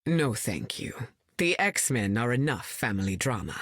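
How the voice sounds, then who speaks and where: An actress playing a regal, composed mutant heroine in a video game, with a stately, measured manner and dry wit. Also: female voice, extremely low pitch, very animated, no echo, exaggerated voice. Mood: proud, wry. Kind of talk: video game character lines.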